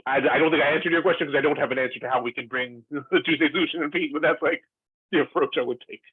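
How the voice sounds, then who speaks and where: A man's voice: a little high, quick pace, breathy edge, dry recording. Man from Israel on a tinny call. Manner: thoughtful, many fillers and restarts. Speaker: former lecturer in a discussion panel. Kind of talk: earnest discussion. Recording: tinny, dry call audio.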